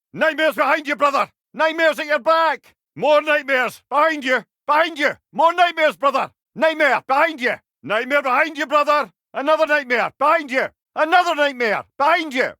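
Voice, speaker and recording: A watchful male battle companion from a video game, calling out to his brother-in-arms. His voice sits extremely high for a man, with a forceful, bright edge. Each warning is short, urgent and barked, captured very dry with no room around it. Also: normal speed, few pauses, dry recording. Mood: urgent, alert. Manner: urgent, clipped, barking warnings. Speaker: game companion character calling out enemy positions. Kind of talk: shouted video game combat barks.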